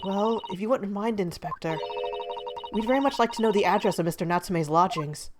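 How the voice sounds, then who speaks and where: A woman's voice: medium pitch, brisk, music in the background, pitched above the performer's own voice, close to an everyday voice. A woman voicing a polite, deferential young investigator while reading video game dialogue. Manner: courteous, formal.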